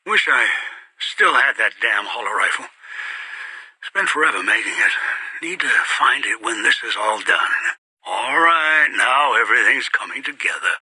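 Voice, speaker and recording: An actor playing a bitter, greedy old man in a video game, scheming and commanding with contempt in a raspy, breathy voice. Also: mid-range voice, animated, moderate pace, bright, forceful tone, no room sound, exaggerated voice, low-quality recording. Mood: bitter, muttering. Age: older. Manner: contemptuous, commanding, muttering to himself. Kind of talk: video game character voice acting.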